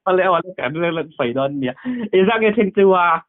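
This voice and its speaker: Higher-voiced man on a narrow phone line. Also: expressive, fast talker. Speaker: phone caller. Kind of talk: casual phone chat. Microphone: telephone.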